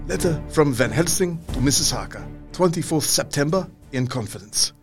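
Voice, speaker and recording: An older professor in a radio drama, fervent and courtly. His voice is fairly high for a man, with a gravelly creak and very animated swings of pitch. He speaks in halting, theatrical phrases. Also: music in the background. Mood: grave, pleading. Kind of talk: radio play acting, dramatic monologue.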